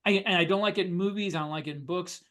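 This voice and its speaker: Higher-pitched man, earnest podcast talk. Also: rapid-fire, fairly bright tone. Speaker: podcast co-host. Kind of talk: casual panel discussion.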